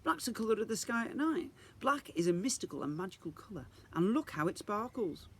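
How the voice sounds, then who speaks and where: Young half-vampire fairy heroine, read by a man: high, very lively pitch, earnest and sensitive, storybook style. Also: a little fast, slightly rough, exaggerated voice. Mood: defiant. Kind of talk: children's storybook character voice.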